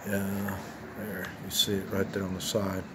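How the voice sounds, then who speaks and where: An older man in his seventies hosts a casual gear video over noticeable background noise. He speaks very slowly in a lowish voice. His delivery is plain-spoken and halting, circling back to repeat himself as he rambles. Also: completely flat delivery. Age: 70s. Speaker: video host.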